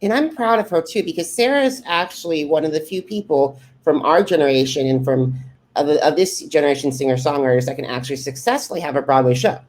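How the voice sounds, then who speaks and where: A man hosting a podcast interview, asking prepared questions in a deliberate way. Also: a little high.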